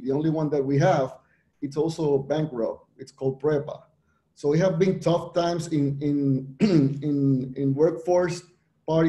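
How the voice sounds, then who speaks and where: A man from Puerto Rico presenting on a virtual panel, his speech halting and full of restarts. His voice sits a touch high but sounds mellow. The room around him is echoey. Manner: halting, many restarts and fillers.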